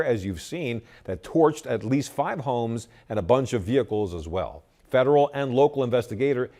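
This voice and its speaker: American male news anchor, lively intonation. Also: mid-range voice. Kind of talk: scripted television news delivery.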